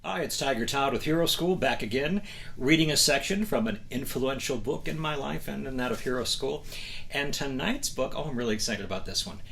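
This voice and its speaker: A man speaking as a host and book reader, expressive and emphatic, with dramatic pauses. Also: medium pitch, vocal fry. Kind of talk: enthusiastic talk to camera and reading aloud from a novel.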